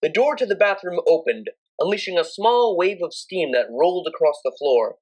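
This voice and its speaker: Expressive woman narrator with lively, swooping pitch. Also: moderate pace, low-quality recording. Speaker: audiobook narrator. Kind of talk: expressive scripted story narration.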